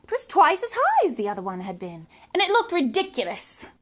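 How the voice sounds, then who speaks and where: A woman with a very high voice reads a children's story aloud. Her pitch moves in big, lively swings, and she uses dramatic emphasis and suspenseful pauses.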